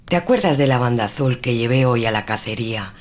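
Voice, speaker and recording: A woman playing a proud, cold young noblewoman in a gothic tale, haughty and deliberate in her formal speech. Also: extremely low pitch, animated. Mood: coy, feigned regret. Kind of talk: dramatised gothic tale acting.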